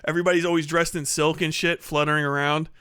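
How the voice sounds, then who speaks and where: A man doing a casual, profane comedic rant on a livestream, animated and slipping into mocking impressions. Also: a little high, fast, clear, resonant tone, no room sound, clean studio sound. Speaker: streamer.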